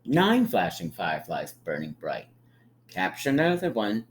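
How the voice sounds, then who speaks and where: A man reading a picture book aloud to young children like a teacher, with emphatic pauses and encouraging, friendly asides. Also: on the low side, wildly swooping pitch, a little hiss or hum. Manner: encouraging, inviting listeners to count along; drawn-out, emphatic storytelling delivery with dramatic pauses.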